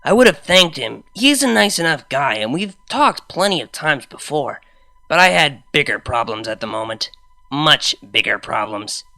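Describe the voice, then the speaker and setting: A woman reading a story aloud as an expressive first-person narrator. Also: low voice, moderate pace, gravelly, no room sound. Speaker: fan fiction reader.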